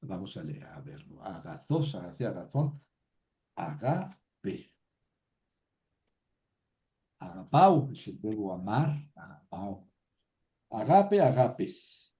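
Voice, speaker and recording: A man teaching a class, slowly reciting word forms and thinking aloud as he explains. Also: lowish voice, sing-song. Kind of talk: slow classroom lesson on grammar. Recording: dull lo-fi recording.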